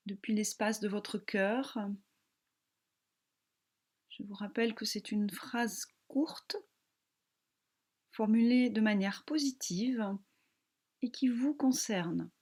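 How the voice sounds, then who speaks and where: A woman yoga teacher guiding a relaxation meditation in a calm, gentle, soothing manner with long pauses. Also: fairly high pitch.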